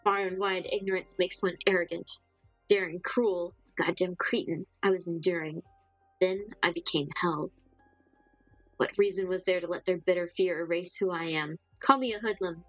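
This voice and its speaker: A woman voicing an old necromancer witch, sardonic and gruff but secretly kind, blunt and theatrical in a dramatic story. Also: fairly low pitch, fairly even intonation, normal speed, dry recording, muffled recording, soft background music, lightly acted. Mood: bitter, defiant.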